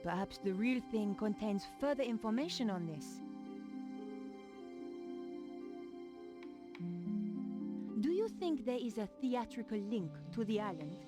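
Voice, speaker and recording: A woman's voice: a little high, animated, fairly quick, music in the background, natural voice. A woman playing a determined, stubborn young investigative reporter in an adventure game. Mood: inquisitive. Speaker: game protagonist and narrator.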